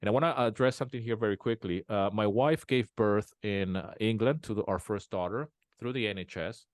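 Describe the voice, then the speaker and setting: A man's voice: on the low side, a little fast, no room sound, studio quality. A man as an interview guest, talking casually at home with many restarts and fillers. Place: home in a small town.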